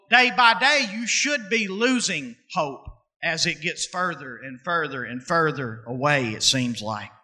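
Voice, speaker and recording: Male pastor, 30s, folksy sermon delivery, very high and piercingly bright voice. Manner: folksy and conversational, slips into dialect; preaching cadence with drawn-out emphasis.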